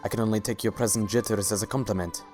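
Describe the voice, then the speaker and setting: A man plays a regal alicorn sultan in an audio story. The voice is low, with courtly, measured, polite phrasing and faint music underneath. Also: fairly even intonation, a little mellow, lightly acted. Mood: amused, charming. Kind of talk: fan-fiction dramatic reading.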